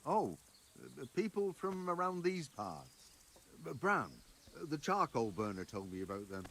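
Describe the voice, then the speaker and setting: A nervous, stammering village gossip in a medieval game. His high voice jumps about in pitch, hesitant, over faint music. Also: sing-song, a little slow, a bit bright, heavily acted.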